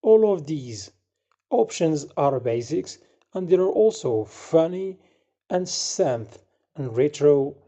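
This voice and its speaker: A man hosts a step-by-step software tutorial in a very dark, soft-edged tone. His voice is husky and sits a little higher than average. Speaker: tutorial host.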